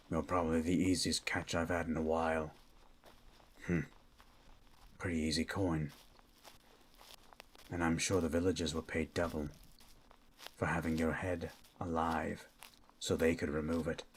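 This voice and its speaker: A man playing a stern, menacing monster hunter in an ASMR roleplay, with a low, gruff voice and a terse, threatening manner. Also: flat delivery, a little slow, pauses often, a little hiss or hum. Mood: cold, confident. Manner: terse, threatening, grunts hmm.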